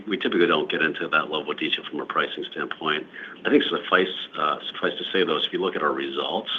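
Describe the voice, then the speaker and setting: A man speaking as a company executive, answering questions on an earnings call in a measured corporate manner, often repeating words. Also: a bass voice. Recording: dull lo-fi call audio, faint noise.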